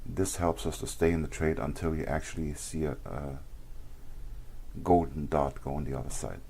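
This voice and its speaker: Very deep-voiced man with a sore throat. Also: normal speed, a little hiss or hum. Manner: frequent throat clearing and ums, relaxed explaining. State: sore, worn-out voice. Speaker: trading stream host. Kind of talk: casual live trading walkthrough.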